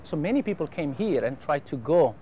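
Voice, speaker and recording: A man from El Salvador speaks careful, earnest English with a Spanish accent as a pastor in an interview. He pauses with fillers between phrases. A lapel mic picks him up on an open terrace, with light noise behind. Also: fairly high pitch, sing-song, warm tone. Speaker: pastor and mission president. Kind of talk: interview answers. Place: outdoor rooftop terrace over a harbor.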